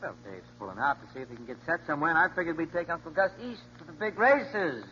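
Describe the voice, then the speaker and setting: An actor playing a cocky, easygoing big brother who gambles on horses, in a 1940s radio drama. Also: male voice, on the high side, expressive, fast talker, sharp tone. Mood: breezy, confident. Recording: muffled old radio recording, noisy, crowd behind.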